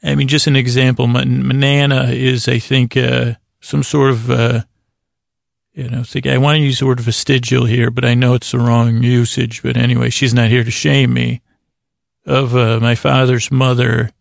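Very creaky, rambling American man. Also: medium pitch, level tone, no echo, clean studio sound. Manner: meandering, halting, trails off mid-thought. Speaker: podcast host. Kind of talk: rambling comedic bedtime monologue.

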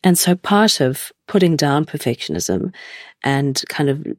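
A woman in her forties with a croaky voice from a cold, hosting a reflective solo podcast and musing gently with many hesitations. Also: low-pitched, lively intonation, unhurried, no echo, studio quality. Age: 40s. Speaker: podcast host.

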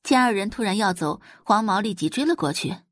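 A woman reads dramatic fiction as a storyteller, with a very varied melody. Her voice is slightly husky and sits in a roomy sound. Soft music plays behind her. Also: medium pitch, lively intonation, brisk, studio quality. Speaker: audiobook narrator. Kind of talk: scripted novel narration, dramatic audio fiction. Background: faint background music.

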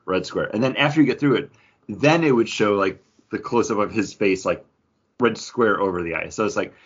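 A man chats casually and offers opinions as a podcast co-host. His voice is husky and on the low side. The recording is a bit dull and lo-fi. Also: a little fast. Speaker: podcast co-host reviewing comic books.

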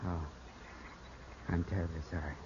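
A man playing a jealous, hot-headed young gentleman in a 1950s radio drama, formal, clipped and intense, sometimes narrating in the first person. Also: low voice, fairly even intonation, moderate pace, slight background noise. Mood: cool, guarded. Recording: dull 1950s radio broadcast, music behind.

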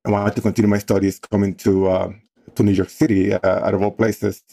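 A man's voice: on the low side, little pitch movement, a little fast, gravelly, dark tone. A man in his forties from the Dominican Republic, speaking with a Dominican Spanish accent, telling his story as a podcast guest with frequent restarts and "you know"s. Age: 40s. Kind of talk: casual storytelling.

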